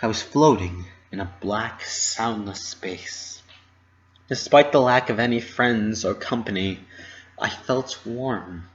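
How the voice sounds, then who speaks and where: A man narrating a first-person fan fiction story with an expressive, dramatic delivery. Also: on the low side, slow pace, lo-fi sound.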